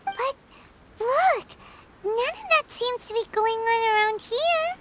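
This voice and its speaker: A woman voicing a tiny, childlike, inquisitive fairy companion in a video game, squeaky and exclamatory. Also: expressive, slow pace, heavy background noise, full character voice. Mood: curious. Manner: exclamatory, speaks of self in third person.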